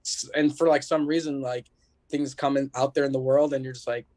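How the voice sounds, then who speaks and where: A man's voice: mid-range voice, steady tone, brisk, darkish tone. A man appearing as a podcast guest, chatting casually with informal, laid-back, slangy phrasing. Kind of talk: casual chat.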